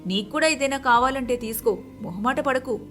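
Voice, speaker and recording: Woman voicing a high-voiced, kindly rich young wife. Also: a little fast, a bit bright, soft background music. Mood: generous, reassuring. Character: kind, generous wealthy young wife, friendly and reassuring. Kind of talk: animated moral-story character dialogue.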